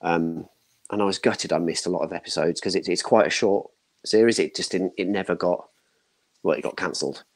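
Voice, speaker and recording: A man's voice: deep voice, a little fast. A man in his thirties chatting casually as a panel guest, giving opinions in short broken bursts. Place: home room, indoors, video call.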